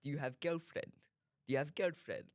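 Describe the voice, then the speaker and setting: A man's voice: fairly high pitch, brisk, no room sound, clean studio sound, pitched above the performer's own voice, lightly acted. A man putting on a pestering street beggar's voice. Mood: nosy. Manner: repeats short demands in broken phrases. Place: radio studio.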